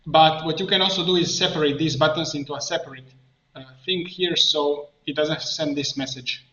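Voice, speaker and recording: A man presenting an improvised software tutorial, thinking aloud haltingly as he works at a computer. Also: a little high, fairly even intonation. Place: indoor room at a computer. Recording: dull, echoey room, clear background noise. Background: keyboard typing and mouse clicks.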